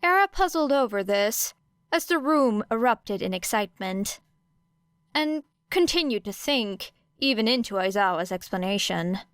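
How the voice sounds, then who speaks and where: A woman's voice: fairly high pitch, animated, unhurried, a bit bright, clean tone, dry recording, clean studio sound. A woman narrating a story as a scripted audiobook reading.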